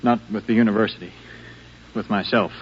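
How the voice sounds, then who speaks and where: A man's voice: lowish voice, steady tone, fairly quick, natural voice. An actor playing a troubled, insistent young male university student with an urgent, earnest manner in a radio drama. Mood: anxious, urgent. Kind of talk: 1950s radio drama acting. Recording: dull, noisy 1950s radio recording.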